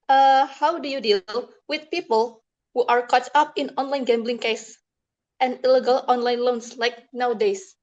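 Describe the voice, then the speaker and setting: A woman's voice: on the high side, steady tone, normal speed, a little mellow. A young woman with an Indonesian accent, a native Indonesian speaker, asking a question politely in formal, hesitant English over dull audio. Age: young adult. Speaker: student audience member asking a question.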